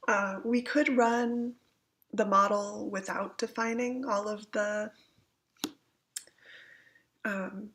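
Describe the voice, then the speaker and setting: A woman with a gravelly voice, getting over a cold, gives an informal step-by-step software tutorial, somewhat hesitant as she thinks aloud. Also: mid-range voice, a little hiss or hum. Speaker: instructor giving a software tutorial. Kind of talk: informal step-by-step screencast walkthrough. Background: computer keyboard typing.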